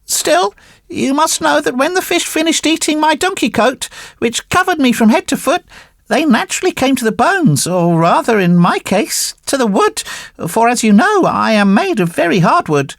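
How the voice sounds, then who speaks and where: A sly marionette boy with a high, gravelly, mocking voice that bounces up and down as he gloats. The storybook puppet sounds impish and boastful. It is recorded close and dry. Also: big pitch swings, moderate pace, a bit bright, no echo, full character voice. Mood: cheeky. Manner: playful, teasing storytelling. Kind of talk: audiobook reading.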